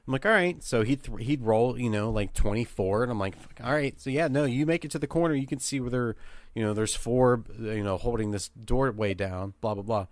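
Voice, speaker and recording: A man's voice: mid-range voice, a little fast, no room sound. A man telling casual game master anecdotes, swearing and acting out the dialogue as he goes. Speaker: podcast panelist and game master.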